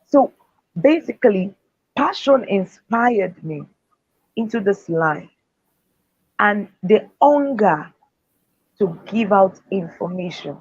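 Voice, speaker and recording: A woman's voice: mid-range voice, lively intonation, slow pace, pauses often, a little mellow, husky, no echo. A woman speaker and author giving earnest, faith-driven motivational answers in an interview. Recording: dull, dry lo-fi sound.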